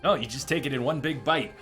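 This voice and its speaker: A man's voice: medium pitch, bright, forceful tone. A man giving casual live gameplay commentary as a streamer, rambling through explanations in a joking way. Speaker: game streamer. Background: game music.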